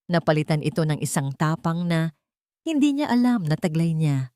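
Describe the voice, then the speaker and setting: A woman narrating a dramatic audio story in a steady, dramatic storytelling manner. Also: low voice, moderate pace, no echo, clean studio sound.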